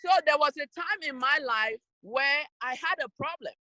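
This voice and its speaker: A woman speaks high and bright straight to young listeners. She presses each point with firm, scolding emphasis. The sound is close and dry, coming through a video-call mic. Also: moderate pace, no room sound, lo-fi sound. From Cameroon. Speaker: youth program speaker. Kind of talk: motivational talk to young people.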